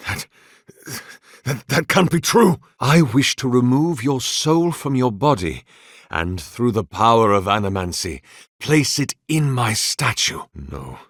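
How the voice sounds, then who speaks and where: An actor playing a devout, obsessive male scholar of soul magic in a fantasy video game, speaking with formal, grandiose, deliberate diction. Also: medium pitch, very animated, no echo, studio quality. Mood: shaken. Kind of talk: theatrical fantasy game acting.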